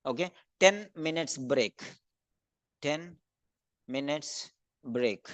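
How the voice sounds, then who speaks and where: Brisk male teacher on a dry video call. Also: on the high side, no echo. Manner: brisk, calls on students by name. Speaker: English speaking teacher. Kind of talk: online class feedback round.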